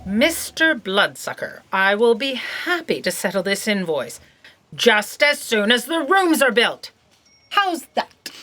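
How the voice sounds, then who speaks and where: A woman's voice: fairly high pitch, big pitch swings, slow pace, pushed and bright, a little hiss or hum, full character voice. A woman playing an imperious, indignant office boss in a comic audio drama, bossy, officious and exasperated.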